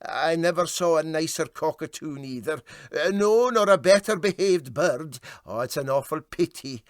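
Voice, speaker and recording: Gloomy, pathetic Scottish deckhand mate, played by a male reader, moaning agreement, higher voice, animated storybook. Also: moderate pace, no room sound, clean studio sound, lightly acted. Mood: pathetic regret. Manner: plaintive, moaning. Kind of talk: comic storybook character reading.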